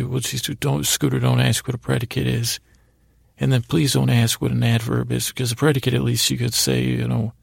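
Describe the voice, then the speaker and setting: Very gravelly, creaky man, meandering and halting. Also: medium pitch, dry recording, clean studio sound. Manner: meandering, halting, stammering, self-interrupting. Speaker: podcast host. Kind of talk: rambling improvised bedtime monologue.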